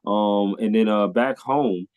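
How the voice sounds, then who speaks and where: A man with a fairly low voice hosts a casual podcast interview. He talks with eager enthusiasm, full of "uh"s and repeated phrases. He sounds upbeat and keeps the talk flowing with his questions. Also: slow pace, darkish tone, smooth. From California. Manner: enthusiastic, lots of fillers and repeats. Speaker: podcast host.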